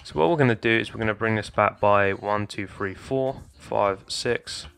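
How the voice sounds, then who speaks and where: A young man with a slightly hoarse voice casually talking viewers through a building tutorial, addressing them as guys. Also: lowish voice, normal speed, fairly bright tone. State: slightly hoarse, losing his voice. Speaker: Minecraft building tutorial creator. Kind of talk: casual gameplay tutorial commentary. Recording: faint background music.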